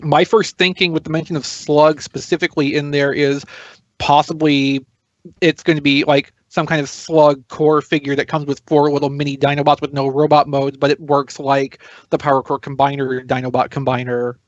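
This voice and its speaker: A man's voice: a little high, little pitch movement, moderate pace, no echo, highs cut off. A man chatting casually as a podcast panelist, explaining and thinking aloud as he goes. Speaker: toy news podcast panelist.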